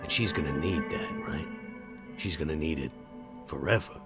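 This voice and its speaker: Man playing a very low-voiced folksy, guarded supplier. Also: creaky, over loud music. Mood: grave. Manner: folksy, drawling hesitations, measured.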